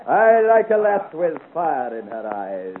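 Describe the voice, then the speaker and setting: An actor playing a mocking, swaggering captain of cutthroats with florid, sneering speech, in a 1950 radio serial. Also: male voice, sits high, full character voice. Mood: amused, predatory. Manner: florid, sneering, theatrical speech with mock gallantry. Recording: tinny, hissy old 1950 radio recording.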